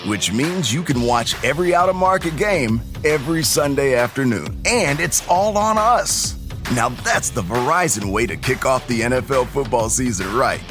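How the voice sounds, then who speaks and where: A man's voice: medium pitch, animated, normal speed, music in the background. A man reading a scripted promotional advertisement as an announcer.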